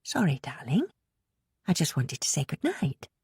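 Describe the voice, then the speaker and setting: A woman voicing an anxious, gently nagging mother in an audiobook, placating and softly insistent. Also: low voice, sing-song, normal speed, slightly rough, no echo, studio quality, exaggerated voice. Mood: coaxing. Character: anxious, coaxing middle-aged mother, gently nagging her daughter.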